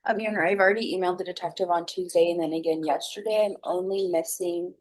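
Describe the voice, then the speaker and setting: A woman reporting to the judge on missing amounts, quick and hedged. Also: fairly low pitch, fairly even intonation. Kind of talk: courtroom address. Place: courtroom.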